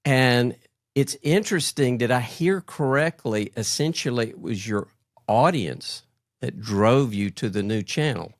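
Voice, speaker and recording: A man's voice: mid-range voice, lively intonation, unhurried, no echo, studio quality. A middle-aged man hosting an interview show, speaking conversationally as he introduces and questions his guest. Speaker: podcast host.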